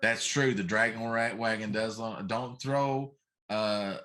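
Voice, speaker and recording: A man's voice: lowish voice, fairly even intonation, slow pace, sharp tone. A middle-aged man co-hosting a casual chat show, speaking in an opinionated, emphatic way. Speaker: talk show co-host. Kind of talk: casual chat about films.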